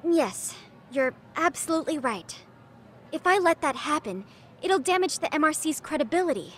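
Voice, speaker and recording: Teenage schoolgirl sleuth, high voice, earnest, polite, game cutscene drama, faint background ambience. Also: expressive, normal speed, fairly bright tone, a little hiss or hum. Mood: deferential.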